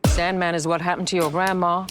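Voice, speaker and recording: A woman's voice: fairly low pitch, level tone, brisk, lightly acted. Ominous storyteller played by a woman, music swelling. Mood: grim. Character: ominous storyteller warning of a vengeful dream spirit. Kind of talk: film trailer dialogue. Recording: loud trailer music underneath.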